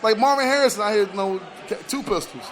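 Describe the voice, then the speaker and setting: A man's voice: high-pitched, quick pace, a bit bright. An American man co-hosting a sports radio show, talking in casual slang and repeating words and phrases for emphasis.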